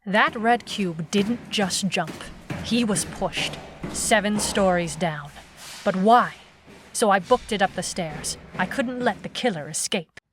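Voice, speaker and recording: A woman's voice: medium pitch, takes it slowly, noisy background, natural voice. A woman playing a wry, hard-boiled private eye, clipped and dry, in a noir detective audio drama. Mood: wry, brooding. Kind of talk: noir detective narration and radio-drama acting.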